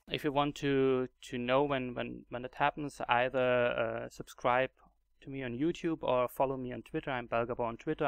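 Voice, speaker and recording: A man's voice: medium pitch, vocal fry, clean tone, dry recording. A man with a German accent narrating a casual game tutorial, hesitant with many fillers and restarts. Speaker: Minecraft mod video creator.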